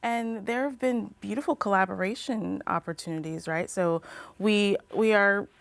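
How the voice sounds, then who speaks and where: A woman's voice: mid-range voice, normal speed, vocal fry, dry recording. A woman hosting a studio interview show, who asks questions and often affirms her guest with short replies. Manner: affirming, with frequent short backchannels. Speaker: talk show host.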